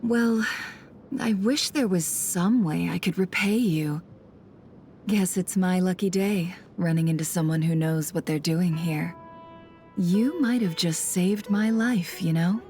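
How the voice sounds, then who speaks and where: A shrewd female traveler from a video game, posing as a smuggler. Her voice sits on the low side, polite and composed, with a calculating edge. Music plays underneath. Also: measured pace, mellow. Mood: polite, grateful.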